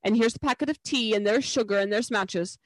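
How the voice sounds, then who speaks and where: A woman's voice: fairly high pitch, brisk, no echo, close to an everyday voice, rough recording. A woman voicing a motherly female beaver, practical and matter-of-fact, in a storybook reading. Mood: brisk, practical.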